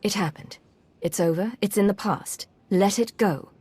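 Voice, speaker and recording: A woman playing a cool, composed secret agent in a video game, measured, matter-of-fact and self-assured. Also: medium pitch, mellow, slightly rough, no room sound. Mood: calm. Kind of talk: dramatic video game acting.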